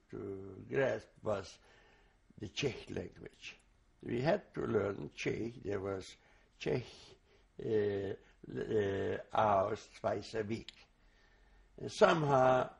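An older man, a native Hungarian speaker, giving oral history testimony in a halting, reflective way with many restarts. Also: on the low side, creaky. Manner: slow, reflective recall. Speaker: interviewee.

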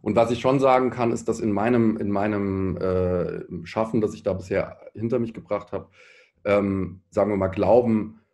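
Male discussion guest, thirties, low mellow voice, halting and thoughtful, reworks sentences as he goes. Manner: halting, searching, often rephrasing mid-sentence. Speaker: panel guest, pop musician. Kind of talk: reflective panel discussion.

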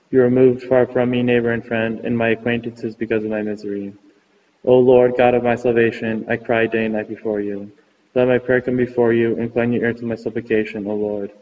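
A man reading psalms aloud in a steady, chant-like liturgical style. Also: mid-range voice. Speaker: reader of psalms. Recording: muffled recording with long reverb.

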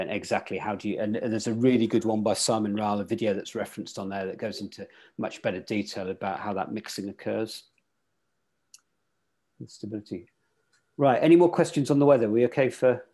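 A man's voice: on the low side, brisk, pauses often, mellow, slightly rough. A middle-aged man hosting a casual club video call on weather and tides, thinking aloud with fillers and restarts. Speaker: host presenting weather and tides. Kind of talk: casual online club talk.

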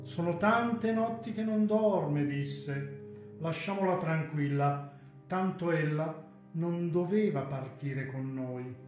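Man playing a high-pitched, teasing hotel waiter. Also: slow pace, darkish tone. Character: chatty, teasing hotel waiter, male adult. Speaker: actor in a radio drama. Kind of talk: radio drama acting. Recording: echoey room, faint guitar music behind.

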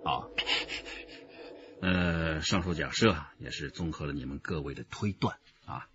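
An actor playing a seasoned police detective in a radio drama, explaining with deliberate authority. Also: male voice, extremely low pitch, noisy background. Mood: appreciative. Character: seasoned male police detective leading case analysis. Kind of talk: Chinese radio drama acting. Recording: muffled radio drama recording.

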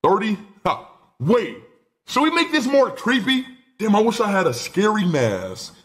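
Excitable nineteen-year-old male streamer. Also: sits high, a little slow. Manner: excitable, rambling, swears freely. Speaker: live streamer. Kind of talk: casual live stream chat. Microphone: headset mic.

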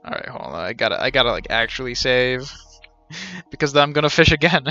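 A man in his thirties streams a game with casual commentary. His voice is bright and forward, though the recording is a little dull. He mutters playfully and repeats himself, with faint game music behind. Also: medium pitch, big pitch swings, moderate pace. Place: small indoor room with shelves.

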